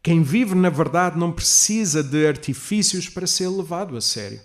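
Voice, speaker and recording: A man preaching a sermon as a pastor, teaching in a measured, didactic way with emphatic stresses and rhetorical questions. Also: a little high, lively intonation. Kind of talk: sermon, expository preaching to a congregation.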